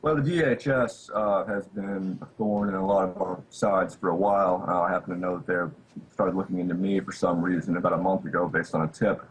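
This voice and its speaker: Deep-voiced American man over background noise. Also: steady tone, poor audio. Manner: halting, searching for words. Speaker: interview guest, activist source. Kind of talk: off-the-cuff interview commentary.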